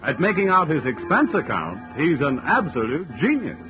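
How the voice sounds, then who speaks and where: High-voiced dramatic radio announcer, man. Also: sing-song, moderate pace. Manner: dramatic showman introduction. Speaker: show announcer. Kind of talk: 1940s radio show introduction. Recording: dull old radio recording with music.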